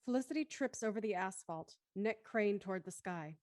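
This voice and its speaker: A woman's voice: mid-range voice, animated, normal speed, darkish tone, no echo, clean studio sound, natural voice. A woman acting as the intent narrator of an audio thriller, reading dramatic scripted narration. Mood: intent storytelling.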